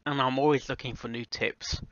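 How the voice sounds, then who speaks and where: A man chatting casually and unscripted in a reaction vlog, halting and often repeating and rephrasing himself. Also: mid-range voice, steady tone, a bit bright, dry recording, highs cut off. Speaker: amateur voice actor reviewing a fan fiction audio reading.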